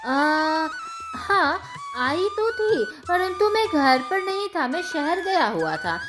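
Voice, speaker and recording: A woman's voice: extremely high pitch, sing-song, slow, pitched above the performer's own voice, exaggerated voice. A woman voicing a friendly, hospitable grown-up brother in a cartoon moral story. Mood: welcoming. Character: friendly, hospitable adult brother welcoming his sister. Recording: music behind the voice.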